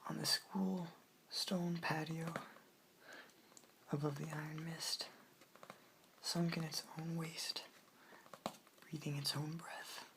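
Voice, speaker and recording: A woman reads in a hushed, breathy ASMR whisper. Her voice sits low and moves slowly from phrase to phrase. The recording carries clear background noise. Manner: whispered, breathy, unhurried delivery. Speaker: ASMR host reading poetry aloud. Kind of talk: soft-spoken ASMR poetry reading. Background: hiss, clicks from tapping and page turning.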